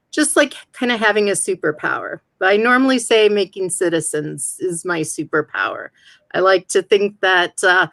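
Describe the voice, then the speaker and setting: A woman with a lowish voice who speaks in a measured, self-deprecating way. Her audio is dry and close, with no room sound, as she talks over a video call. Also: fairly bright tone, dry recording. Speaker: game show contestant, immigration attorney. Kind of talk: casual self-introduction.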